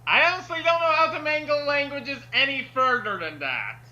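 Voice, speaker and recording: A man's voice: extremely high pitch, expressive, normal speed, muffled recording, crowd noise. A man doing comedic banter as a co-host, with a hammy, mock-villainous manner. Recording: faint music behind. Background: faint music.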